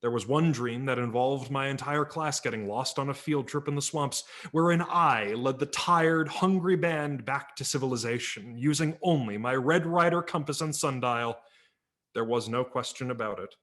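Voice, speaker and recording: A man narrating a humorous memoir story as a dramatic reading, wry and theatrical, letting his voice drop low at the ends of phrases. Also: normal speed, a bit bright. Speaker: audiobook narrator. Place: home, virtual reading.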